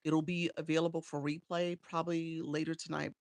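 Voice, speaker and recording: A woman's voice: sits low, level tone, brisk, darkish tone, no room sound. A woman from Chicago coaching live streamers in a casual livestream chat. She reads viewer comments aloud and answers them, with frequent "um"s, on a small desk microphone at home.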